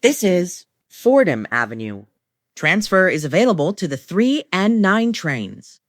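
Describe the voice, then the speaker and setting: Low-pitched woman making train announcements. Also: very animated, dry recording, studio quality. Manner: formulaic, measured delivery of short set phrases. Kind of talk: scripted automated transit announcements.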